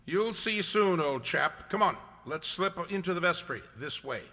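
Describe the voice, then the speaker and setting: A man playing a shrewd, commanding gentleman detective in an incisive, theatrical radio-play style. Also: a little high, animated, moderate pace. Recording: echoey hall.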